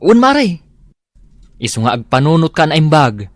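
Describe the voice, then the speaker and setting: A man's voice: on the high side, big pitch swings, normal speed, no room sound, clean studio sound. An actor playing a friendly neighbor and godfather who gives a warning in a radio drama.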